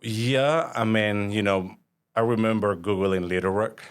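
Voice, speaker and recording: A low-pitched man in his thirties speaks English with a Honduran Spanish accent. As a podcast guest, he tells stories in an easygoing, conversational way, with hesitant phrasing. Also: unhurried, clear, resonant tone. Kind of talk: casual conversational storytelling.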